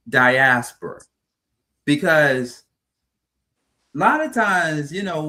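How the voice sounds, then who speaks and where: A man's voice: mid-range voice, fairly bright tone. A man who hosts a morning talk show and asks the group reflective questions in a casual tone. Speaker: broadcaster and host.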